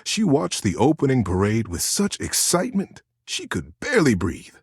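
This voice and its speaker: Male storyteller for a children's tale: mid-pitched, very animated, slightly husky, building gentle suspense, dry studio-like sound. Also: normal speed, no room sound. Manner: gentle suspense, expressive emphasis. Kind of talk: dramatic children's storybook narration.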